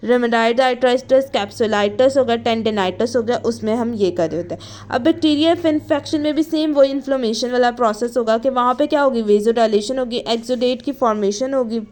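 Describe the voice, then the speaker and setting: A woman with a high voice delivers a study lesson. She reads from her notes, then restates each point in simpler words. She mixes in English technical terms and keeps checking that the listener follows. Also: moderate pace, a little mellow. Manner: code-switches between Hindi and English terms; repeats and rephrases points, checking with "okay". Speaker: teacher of physiotherapy students. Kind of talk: explanatory lecture, reading out notes then explaining.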